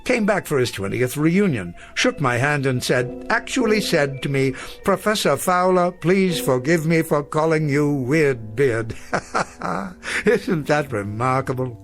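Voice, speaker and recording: An actor playing an elderly, genial, old-fashioned professor in a radio drama, speaking in a wordy, courtly, hesitant way. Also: male voice, a little high, lively intonation, unhurried, faint music behind. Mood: proud, nostalgic. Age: older. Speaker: veteran teacher.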